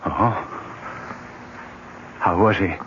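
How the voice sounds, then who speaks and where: Grieving old dad: gentle, stammering, very slow, radio drama, muffled vintage sound. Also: male voice, sits low, exaggerated voice. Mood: fond, flustered. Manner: halting, stammering, repeating words. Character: kindly, bewildered, grieving old father. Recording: dull, faintly noisy vintage radio recording.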